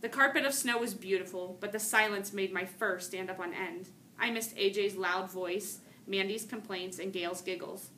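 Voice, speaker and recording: A woman reading a children's novel aloud in an animated, emphatic storytelling manner. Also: mid-range voice, normal speed, few pauses. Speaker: storybook reader, teacher or school staff. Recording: noticeable background room noise.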